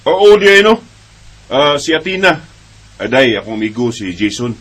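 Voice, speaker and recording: A man's voice: medium pitch, sing-song, moderate pace, a bit bright. A man acting a protective, joking older brother with a Bisaya accent in a radio drama, casual and a little bossy. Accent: Filipino, Bisaya.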